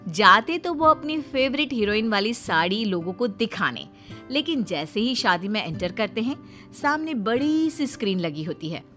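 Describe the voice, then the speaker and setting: A woman's voice: fairly high pitch, big pitch swings, normal speed, pushed and bright. A woman narrating a scripted comic story, chatting directly to her listeners. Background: music.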